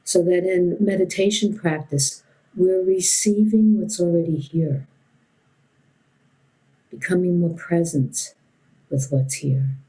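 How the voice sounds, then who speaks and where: A woman leads a guided meditation as a teacher. Her voice is low and soft-edged, with a dark, relaxed tone. She speaks very slowly and gently, leaving long pauses between short phrases. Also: low-quality recording.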